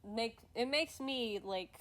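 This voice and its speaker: A woman's voice: fairly high pitch, slow pace, a bit bright. Sniffly woman streaming, rambly casual chat, lots of likes and restarts. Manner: rambling, many restarts and fillers, laughs often. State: sniffly, stuffed nose. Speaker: streamer host. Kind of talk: casual livestream chat while drawing.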